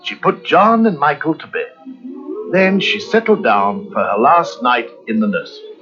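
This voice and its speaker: A male narrator reads a children's storybook expressively, with lively, swooping intonation. His fairly high voice sits over music on a slightly dull recording. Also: normal speed.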